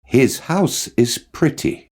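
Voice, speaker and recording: A man reading lines of English course dialogues with expressive, questioning intonation. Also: medium pitch, slow. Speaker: dialogue reader in a language course. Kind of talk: slow, clearly read textbook dialogue.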